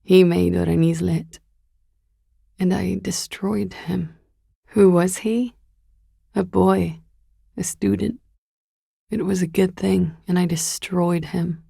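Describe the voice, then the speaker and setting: Low, husky, weary young fugitive woman. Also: fairly even intonation, takes it slowly, long pauses, dark tone, no room sound, clean studio sound, full character voice. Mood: regretful, ashamed. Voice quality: low, breathy, husky and gravelly. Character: weary, guarded young woman on the run, evasive and melancholic. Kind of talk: video game character dialogue, intimate and understated.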